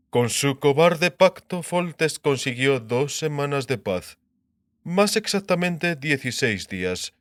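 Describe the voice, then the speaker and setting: A man voicing an assured, sharp-minded king in an audiobook, lecturing on war in declarative phrases and rhetorical questions. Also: mid-range voice, dry recording, clean studio sound, lightly acted. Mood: grave, emphatic. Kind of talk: dramatised audiobook dialogue in Spanish.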